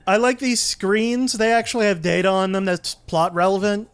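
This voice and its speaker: A man's voice: high voice, fairly even intonation, moderate pace, clear, resonant tone, dry recording, faint music behind. A man giving casual gameplay commentary as a let's play host, easygoing and a bit hesitant as he explains.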